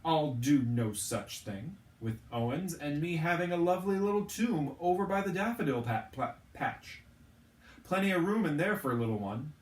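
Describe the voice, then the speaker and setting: A man's voice: fairly high pitch, normal speed, voice pushed up, natural voice. A man voicing a motherly, stubborn, plain-spoken ghost woman in a storybook reading. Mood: firm, fussy. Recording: roomy, echoing, distant from the mic.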